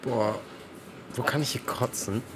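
An actor playing a sarcastic, loyal teenage friend who makes dry, slangy quips in a naturalistic radio drama. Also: male voice, mid-range voice, fairly even intonation, unhurried, background noise, close to an everyday voice. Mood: dryly sympathetic.

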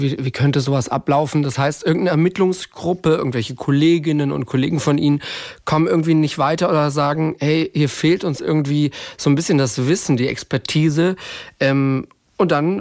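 A man's voice: a little high, moderate pace, gravelly, a little mellow, no room sound, clean studio sound. A man co-hosting an interview podcast, asking questions in a casual, colloquial way. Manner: informal, colloquial wording.

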